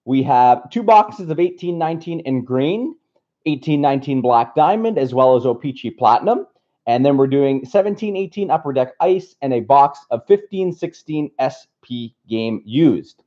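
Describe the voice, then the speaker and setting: A man from Canada hosting a live card break from a table indoors, upbeat and slightly raspy from being unwell. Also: mid-range voice, expressive, normal speed, warm tone, muffled recording. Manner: upbeat, reads off a list of names. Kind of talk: casual live stream chat.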